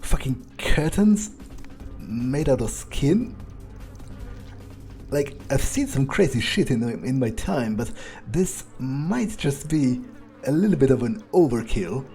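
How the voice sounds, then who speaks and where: A man's voice: mid-range voice, animated, slow pace, dark tone, soft background music. A man playing a jaded, foul-mouthed vampire who mutters wry remarks to himself during a video game playthrough. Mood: appalled disbelief. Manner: muttering, wry, swearing often. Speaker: gaming video creator playing in character. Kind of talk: in-character game playthrough monologue.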